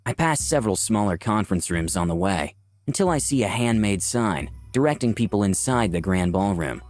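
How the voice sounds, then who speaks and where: A man's voice: lowish voice, lively intonation, a little fast, a little mellow, lightly acted. A man reading as a flirty, cocky personification of Lust, narrating a visual novel in a musing, theatrical way. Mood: playful, curious. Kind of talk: first-person visual novel read aloud.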